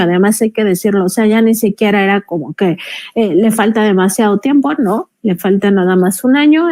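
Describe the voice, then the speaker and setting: Higher-voiced Mexican woman giving political commentary. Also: darkish tone. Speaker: journalist, panel commentator. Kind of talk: political commentary in a panel discussion.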